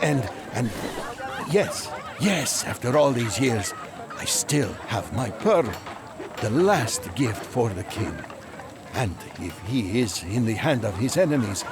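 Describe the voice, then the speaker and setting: An actor playing an aged, devout Magian seeker, gentle but resolute, speaking in solemn, halting oratory in an audio drama. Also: male voice, medium pitch, noisy background. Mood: torn, hopeful.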